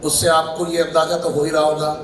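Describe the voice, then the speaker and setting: A hoarse male teacher, giving a heartfelt, reflective address at a public event. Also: fairly high pitch, flat delivery, brisk, a crowd in the background. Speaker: teacher at coaching institute. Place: large hall. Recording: distant voice in echoey hall with faint noise.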